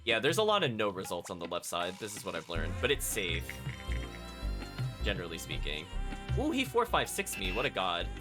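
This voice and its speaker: A man's voice: low voice, wildly swooping pitch, moderate pace. A man giving casual live commentary as a video game streamer, thinking aloud and chatting to his viewers. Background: game music.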